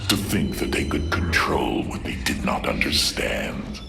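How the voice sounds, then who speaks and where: A man voicing a haughty, menacing alien warlord in a video game cutscene, gravelly and theatrically scornful. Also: rumbling low, lively intonation, full character voice. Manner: slow, sneering, theatrical delivery. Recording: music behind the voice.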